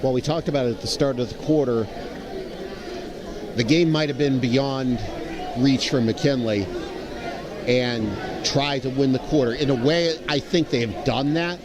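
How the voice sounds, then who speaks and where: An American man giving measured live basketball analysis as a color commentator. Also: medium pitch. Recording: noisy gym with crowd behind. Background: crowd noise in a gym.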